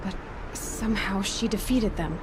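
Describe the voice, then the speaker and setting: An actress playing an earnest young female seeker in a video game, pensive and measured, frustrated yet defiant. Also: medium pitch, background noise, natural voice. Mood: pensive, troubled. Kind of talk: video game cutscene acting.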